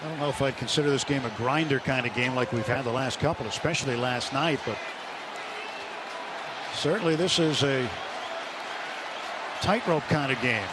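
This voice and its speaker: A man calling baseball play-by-play on the radio, building excitement on big pitches. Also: normal speed, pauses often. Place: ballpark broadcast booth. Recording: radio broadcast over loud crowd noise.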